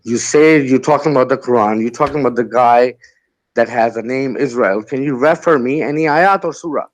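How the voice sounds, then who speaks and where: A man's voice: mid-range voice, fairly quick. A man calling in to a religious debate, arguing back in a defensive, dismissive way. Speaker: caller. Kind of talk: heated call-in religious debate.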